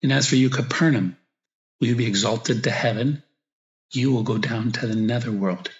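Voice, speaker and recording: A male priest leads a guided meditation in a mid-pitched voice, in slow, reflective phrases broken by pauses. The recording is echoey and a little dull. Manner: slow, reflective, pausing between short phrases. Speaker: priest guiding meditation and prayer. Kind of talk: guided meditation, spoken prayer with gospel reading. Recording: echoey room, slightly dull sound.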